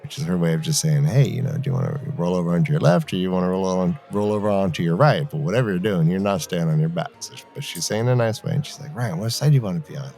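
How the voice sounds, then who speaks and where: A man's voice: deep voice, expressive, racing, vocal fry, hardly any pauses, darkish tone. Playfully argumentative man co-hosting a podcast. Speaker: podcast co-host. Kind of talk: animated fan debate, casual chat.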